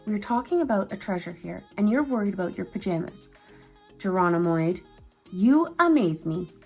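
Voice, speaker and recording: A woman voicing a boastful, excitable male mouse cousin who squeaks and teases. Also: moderate pace, faint music behind. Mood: teasing. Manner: squeaky, teasing.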